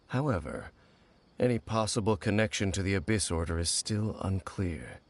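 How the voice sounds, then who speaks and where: An actor playing a grave, brooding ancient warrior in a video game, speaking in a low, measured, solemn way. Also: male voice. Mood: calm solemn. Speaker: game character. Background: faint game music.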